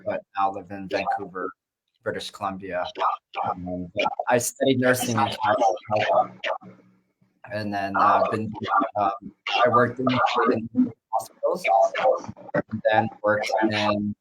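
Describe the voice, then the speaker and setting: A man from Canada talking casually and haltingly as an interview guest, through a laptop on a video call. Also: fairly low pitch, fairly even intonation, a bit bright, a little hiss or hum, rough recording. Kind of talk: casual self-introduction and chat.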